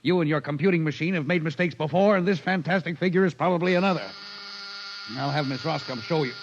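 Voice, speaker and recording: A man's voice: on the high side, fast, a little hiss or hum, lightly acted. An actor playing a brusque, impatient male office boss in a 1950s radio drama recording. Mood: irritated. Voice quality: brusque, dismissive, blustering. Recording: dull old 1950s radio broadcast.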